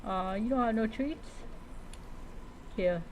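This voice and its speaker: A woman streamer role-playing in character as a dragon rider in a game, speaking haltingly and repeating words. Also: on the high side, a little mellow, slight background noise, low-quality recording. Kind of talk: in-character game roleplay storytelling, talking to pet creatures.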